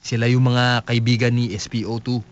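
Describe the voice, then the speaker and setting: A man's voice: medium pitch, flat delivery, normal speed, crowd noise, close to an everyday voice. A man playing a naive young squatter who solemnly and proudly reads his letter in a comic radio drama. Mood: earnest, proud. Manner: solemn, letter-reading delivery. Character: naive, earnest young male squatter writing home with pride. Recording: dull lo-fi radio broadcast.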